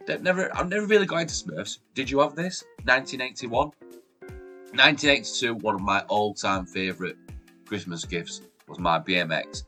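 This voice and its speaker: A man's voice: brisk, faint music behind. A man in his forties with a Yorkshire accent, hosting a casual countdown vlog in an upbeat, chatty way while sounding chesty from a cold. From Yorkshire, England. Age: 40s. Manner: chatty and upbeat, lively jumps in pitch and loudness. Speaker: YouTube host. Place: room at home with shelves behind the camera.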